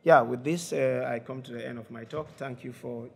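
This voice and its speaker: A man giving a conference presentation in a halting way, with many fillers and repeated words. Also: mid-range voice, fairly even intonation, creaky, darkish tone. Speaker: conference speaker. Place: conference hall.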